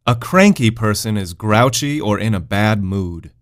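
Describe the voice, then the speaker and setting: A man narrating a scripted vocabulary lesson, deliberately stating words and their definitions in an instructional manner. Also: fairly low pitch, animated. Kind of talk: scripted educational narration, word then definition.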